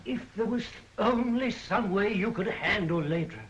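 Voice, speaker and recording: An actor playing an earnest, respectful subordinate in 1930s film style. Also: male voice, high-pitched, animated, a little slow, a little hiss or hum. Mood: pleading. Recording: dull, band-limited 1930s film soundtrack.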